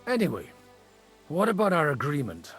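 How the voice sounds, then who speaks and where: A man playing a worldly medieval parish priest in a video game, measured and dryly witty, with music behind. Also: a little high, huge pitch swings, fairly bright tone, slightly rough, heavily acted. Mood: dry, calm. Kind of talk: video game cutscene acting.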